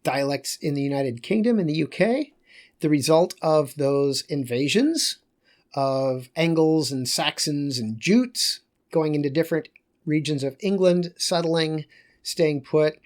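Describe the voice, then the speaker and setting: A man lecturing on geography in a loose, conversational way, pausing and correcting himself as he goes. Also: a little high.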